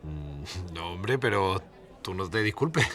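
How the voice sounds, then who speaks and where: A man's voice: deep voice, animated, slow pace, a bit bright, soft background music, a faint crowd, heavily acted. An actor playing a blunt cameraman from Extremadura, speaking colloquially and hesitantly in a mock documentary interview. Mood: hesitant, recalling. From Cáceres, Spain. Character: TV cameraman from Extremadura recalling events, resentful but kindly.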